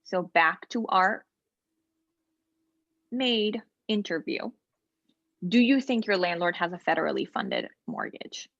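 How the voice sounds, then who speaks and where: A woman trainer patiently walking a practice client through online software during a role-play interview. She explains each step and often pauses with ums and short asides. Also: lowish voice, very animated, vocal fry, no room sound, highs cut off. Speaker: legal aid trainer. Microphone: video call.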